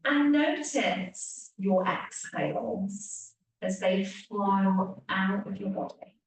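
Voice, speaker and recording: A woman teacher leading a guided relaxation meditation, speaking gently with long pauses and hushed phrase endings. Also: mid-range voice. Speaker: yoga nidra teacher. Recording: echoey room, distant mic, faint hiss.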